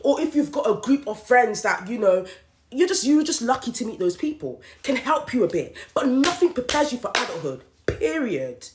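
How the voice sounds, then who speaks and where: A woman's voice: a little high, very animated, normal speed, background noise. A woman, hosting a podcast and venting her thoughts in a candid solo monologue, with emphatic repetition. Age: late 30s. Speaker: podcast host. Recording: roomy echoey room.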